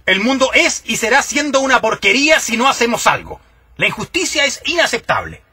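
A man's voice: extremely high pitch, big pitch swings, a little fast, sharp tone, husky, dry recording, exaggerated voice. An actor playing a pompous, easily indignant family father with a Chilean accent, blustering and declaiming in a radio comedy. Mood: declamatory. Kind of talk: broadcast radio comedy acting.

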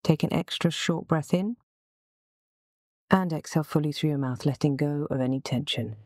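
A woman with a low, soft-toned voice leads a guided relaxation session. She gives gentle, unhurried instructions in a soothing way. The sound is close and dry, with a mellow, relaxed timbre. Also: no room sound.

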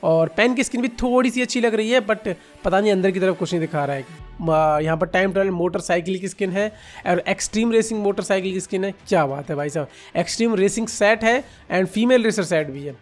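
A man's voice: high voice, brisk, faint music behind. A man with an Indian accent giving casual, informal reaction commentary as a gaming video host, chatting to viewers like friends.

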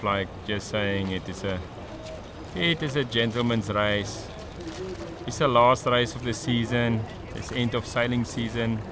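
A man hosting a sailing vlog, casually commenting live on a regatta from the deck of a boat. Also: mid-range voice. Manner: excited, commenting on a race as it happens. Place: outdoors on a sailing catamaran at sea. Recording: windy outdoor noise. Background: wind and water noise.

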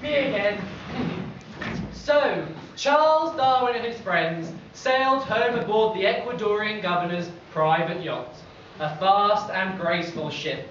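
A pantomime narrator, played on an amateur stage, telling a tale with animated, deliberate delivery. The voice is distant in a very echoey hall. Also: mid-range voice, highs cut off, a little hiss or hum. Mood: storytelling. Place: stage in a large theatre hall. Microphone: far camera.

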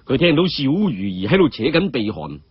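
Guy narrating a dramatic tale, a bit husky, muffled dry sound. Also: mid-range voice, very animated, normal speed, no echo. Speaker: storyteller.

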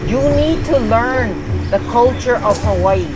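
A woman's voice: on the high side, lively intonation, measured pace, background noise. An older woman confronting workers by a rural road, insistent and scolding, pressing her questions. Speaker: mother defending a family burial site. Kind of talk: heated confrontation.

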